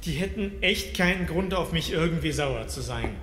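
An actor playing an anxious, sarcastic, halting man trapped in a cellar, in a horror audio drama. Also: a little high, animated, fairly bright tone. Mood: wary, thoughtful. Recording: echoey hall reverb, faint background noise.

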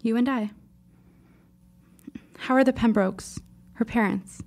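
Woman doing a precocious teen girl in an audio drama, earnest n dramatic, formal wording, bit of fry in the voice. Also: on the high side, slow pace, darkish tone. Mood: insistent. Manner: formal, wordy, dramatic phrasing. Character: precocious, earnest fifteen-year-old girl writer, shaken but insistent.

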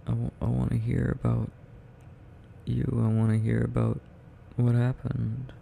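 A man's voice: medium pitch, monotone, measured pace, gravelly, warm tone, a little hiss or hum. A young man playing a sleepy, needy, affectionate boyfriend in an intimate ASMR roleplay, murmuring drowsily and tenderly close to the microphone. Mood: drowsy, tender.

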